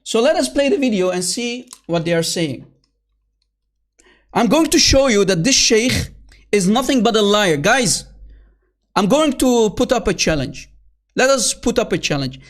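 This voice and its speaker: A man's voice: high-pitched, lively intonation, unhurried, pauses often. A man hosting an online debate, heated and scornful, calling opponents names and often addressing viewers as guys and brother. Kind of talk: combative online debate commentary. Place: at his computer.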